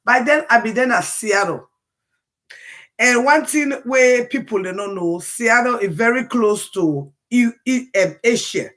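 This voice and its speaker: Hoarse Sierra Leonean woman livestreaming. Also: on the high side, animated, measured pace, a bit bright. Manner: emphatic, repeats phrases for emphasis. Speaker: livestream commentator. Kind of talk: casual livestream talk.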